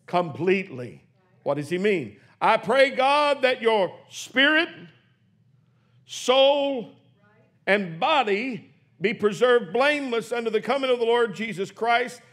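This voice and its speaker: A man in his sixties preaching a sermon in a church, swinging from hushed, quick asides to shouted exclamations. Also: high voice. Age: 60s. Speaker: pastor. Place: church sanctuary.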